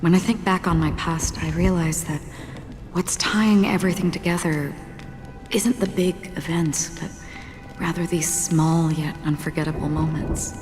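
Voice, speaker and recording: Very low, melancholy female wanderer. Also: steady tone, a little slow. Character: melancholy, detached female wanderer, soft-spoken and stoic. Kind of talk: dramatic game dialogue. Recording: long hall reverb, music behind. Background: music.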